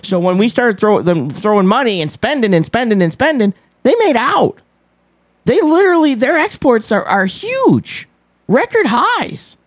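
A man hosting a casual live show, giving emphatic commentary on politics and economics with repeated phrases and a squeaky voice. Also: big pitch swings, moderate pace, dry recording.

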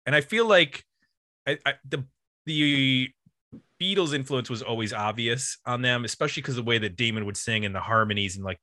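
A man casually discussing music as a podcast host, talking in a hesitant, halting way. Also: medium pitch, pushed and bright, no room sound, clean studio sound.

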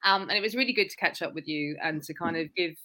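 A chatty woman host with a bright, clear tone and a voice that sits a little low. She talks casually and self-deprecatingly, often starting with "um" and going back over her own words. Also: fast talker. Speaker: podcast host. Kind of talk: casual interview chat.

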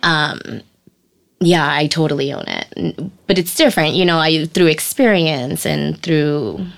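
Gravelly New York woman chatting. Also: low-pitched, unhurried. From New York City, born in the Bronx, raised in Queens. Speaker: podcast guest. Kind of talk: casual anecdotal chat.

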